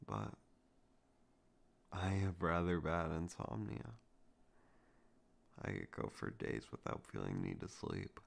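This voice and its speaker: A man performing a romantic ASMR roleplay to the listener, soft, intimate and teasing with a possessive edge. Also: big pitch swings, takes it slowly, pauses often. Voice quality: low gravelly voice with heavy vocal fry.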